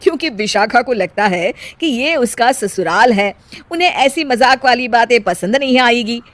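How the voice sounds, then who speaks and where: A woman's voice: fairly high pitch, expressive, normal speed, a bit bright. A woman voicing an older, matronly mother-in-law in a cartoon moral story, motherly but sly and emphatic. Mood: sly, amused.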